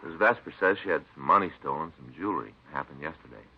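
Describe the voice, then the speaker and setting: On a muffled vintage radio recording, a folksy married detective with a very low, creaky voice. He is sheepish and hesitant, hedging and rambling in an old crime-drama style. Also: lively intonation, quick pace, slight background noise. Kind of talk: 1950s radio drama acting.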